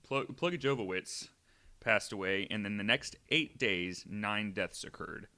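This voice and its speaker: A man's voice: on the low side, a little slow, pushed and bright. A young man co-hosting a podcast, reading a spooky article aloud with jokey asides. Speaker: guest co-host.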